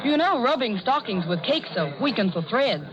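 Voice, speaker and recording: Knowing fashion columnist, muffled recording. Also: female voice, on the high side, very animated, normal speed, a crowd in the background. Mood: helpful. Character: knowing, chic fashion columnist in a soap advertisement. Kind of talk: 1930s radio commercial acting. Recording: muffled vintage radio recording.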